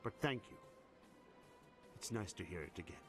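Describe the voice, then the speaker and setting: Sad soft-spoken husband in a sci-fi game, indian accent, low quiet delivery. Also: male voice, animated, moderate pace, faint music behind. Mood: sad, formal. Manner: quiet, formal, subdued. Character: grieving soft-spoken male colonist husband.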